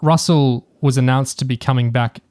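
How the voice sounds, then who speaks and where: A man talks as a podcast co-host in an informal discussion. His voice is mid-pitched, and he feels his way through points, musing tentatively as he goes. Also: steady tone, normal speed, darkish tone. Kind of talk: casual discussion.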